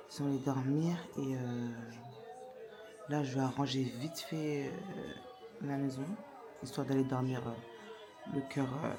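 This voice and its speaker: A young woman chatting casually in a vlog, with a stammer that makes her repeat and restart words in a halting way. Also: extremely low pitch. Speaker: lifestyle vlogger, mother of young children. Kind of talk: casual chat to camera.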